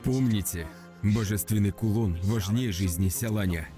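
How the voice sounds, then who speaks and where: A man playing a serious, authoritative martial guardian in a dubbed animated fantasy, stern and instructive. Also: lowish voice, moderate pace, music in the background, lightly acted. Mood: grave, urgent.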